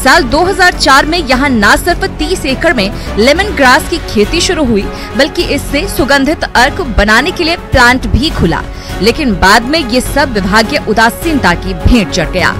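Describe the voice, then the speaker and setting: A woman's voice: high-pitched, lively intonation, normal speed. A woman with an Indian accent delivering a scripted news voice-over as a reporter. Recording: music bed under the voice.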